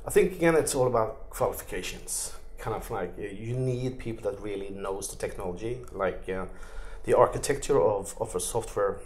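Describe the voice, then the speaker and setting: A man's voice: on the low side, normal speed, hardly any pauses, a little mellow. A middle-aged man from Norway with a Norwegian accent, answering interview questions in loose, filler-heavy phrasing. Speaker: interviewee, tech executive.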